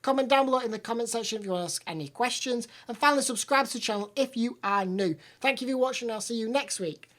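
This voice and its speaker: A woman's voice: fairly high pitch, quick pace, hardly any pauses, fairly bright tone. A woman hosting an anime review channel, chatting casually through an episode recap while her voice is slightly off from hay fever. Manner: chatty recap with repeated words.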